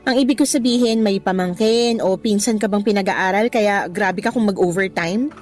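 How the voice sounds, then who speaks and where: A woman's voice: medium pitch, moderate pace, faint music behind, natural voice. A young woman with a Filipino accent playing a nosy, caring, insistent office co-worker in a radio drama. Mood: probing, teasing. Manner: probing, expressive.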